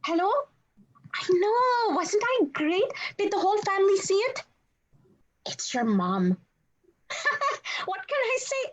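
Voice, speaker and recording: A woman playing an excitable, vain, wisecracking male monster sidekick with a whiny, boastful delivery in a scripted read. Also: very high-pitched, slow pace, pauses often, highs cut off, exaggerated voice. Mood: starstruck. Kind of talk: podcast script table read.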